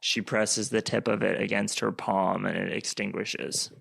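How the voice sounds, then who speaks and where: Slow, creaky-voiced man narrating. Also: lowish voice, level tone, fairly bright tone. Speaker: narrator and player in a radio play. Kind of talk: scripted narration of stage action.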